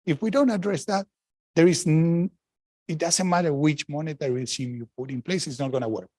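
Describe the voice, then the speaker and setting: A man's voice: fairly high pitch, fairly quick, a little mellow, no room sound, clean studio sound. A middle-aged man from Argentina, a former central banker, speaking frankly and emphatically on a panel, with restarts and asides. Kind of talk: panel talk answering questions.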